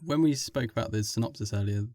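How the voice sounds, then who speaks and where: Male co-host, quick delivery, dark lowish voice, direct questions. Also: dry recording, clean studio sound. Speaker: podcast co-host. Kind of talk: casual podcast interview.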